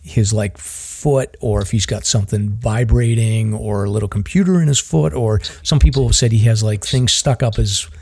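A man's voice: fairly low pitch, moderate pace, gravelly, hardly any pauses, dark tone, slightly rough. A man with a Boston accent hosting a podcast in casual chat, rambling and using lots of fillers like "like" and "um". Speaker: podcast host.

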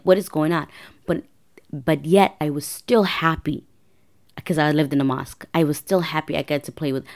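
Low raspy-voiced woman podcaster. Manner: chatty, lots of fillers and repeats. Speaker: podcast host. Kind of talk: casual personal storytelling podcast.

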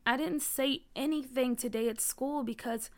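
A woman's voice: a little high, animated, a little slow, pushed and bright, full character voice. A woman voicing an upset, whiny young schoolboy in a storybook, with a pleading, emphatic, slangy way of talking. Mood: subdued, ashamed.

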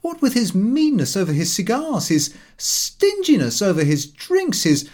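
A man's voice: high voice, huge pitch swings, measured pace, darkish tone, pitched above the performer's own voice, heavily acted. A man reading an audiobook, voicing a brash, cheeky, cheerful young man with a scornful, slangy manner. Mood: scornful, animated.

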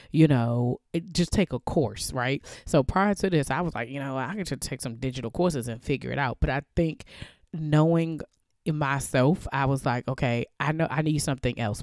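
A woman with a cold, congested and hoarse, talking casually and chattily on her own podcast with a naturally deep voice. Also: darkish tone, no echo, studio quality. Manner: chatty, loose, laughs often, lots of fillers. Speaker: podcast host. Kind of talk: casual solo podcast talk.

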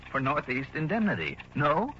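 A man's voice: fairly high pitch, wildly swooping pitch, moderate pace, sharp tone, full character voice. A man playing a wry, confident American insurance investigator with a dry sardonic wit in a 1960s radio drama. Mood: dry, probing. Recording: dull, noisy old radio recording.

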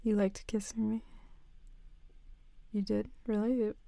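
A young woman playing a shy, nervous tomboy best friend confessing a crush in an intimate audio roleplay, hesitant and halting. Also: mid-range voice, little pitch movement, creaky, warm tone, no echo. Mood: flustered, nervous.

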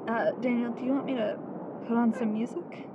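A woman acts a young sister in an audio drama, awkward yet blunt. Her voice is on the high side, matter-of-fact and tentative, half buried under loud vehicle noise. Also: steady tone, a little fast, highs cut off, natural voice. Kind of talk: naturalistic audio drama acting.

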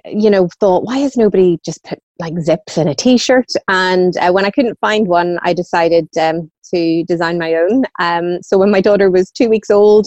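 A woman's voice: fairly low pitch, darkish tone, dry recording. Northern Irish woman, slightly dull dry recording. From Northern Ireland. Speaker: podcast guest, small business founder. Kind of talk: casual conversational storytelling. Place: at home.

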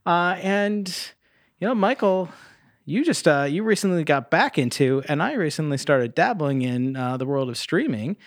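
A man's voice: a little high, animated, normal speed, no echo, clean studio sound. A man co-hosting a podcast in casual chat, laid-back, self-deprecating and happy to swear. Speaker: podcast co-host.

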